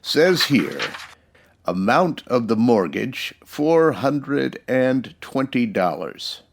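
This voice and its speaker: A man playing a steady, decent United States marshal in an old-time radio western, plainspoken and folksy. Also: mid-range voice, slow, gravelly, exaggerated voice. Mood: grave, disapproving.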